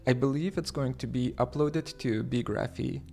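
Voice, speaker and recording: A man from Lithuania hosting a livestream, talking haltingly with many fillers and restarts as he reads the chat aloud. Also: medium pitch, creaky, darkish tone, soft background music. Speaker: livestream host.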